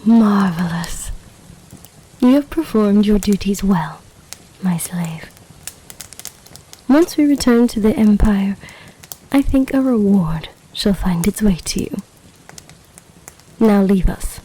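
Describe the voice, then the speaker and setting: An imperious lamia empress, acted by a woman, for a romantic fantasy audio roleplay. She speaks slowly and formally, with a breathy, mellow voice that often sinks toward a whisper. Her manner is commanding and seductive. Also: mid-range voice, expressive, poor audio. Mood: regal, commanding. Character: imperious, amorous lamia empress, half-serpent ruler.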